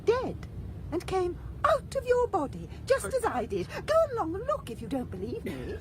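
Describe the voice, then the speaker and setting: An actress in a radio drama playing a haughty, nagging ghost of a murdered wife, with a shrill theatrical delivery. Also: female voice, huge pitch swings, moderate pace, full character voice. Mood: brisk, scornful. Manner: shrill, theatrical, matter-of-fact.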